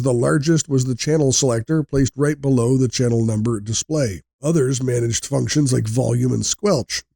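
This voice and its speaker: Male narrator for scripted explainer countdown. Relaxed, gravelly voice, measured delivery, very dry sound. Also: medium pitch, no echo. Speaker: narrator of product countdown video.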